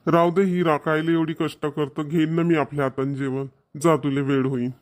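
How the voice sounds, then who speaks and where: A resigned middle-aged village husband from a cartoon comedy, acted by a man. His voice sits a little high for a man, and he grumbles in homely Varhadi Marathi. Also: flat delivery, brisk, lightly acted. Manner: complaining, colloquial.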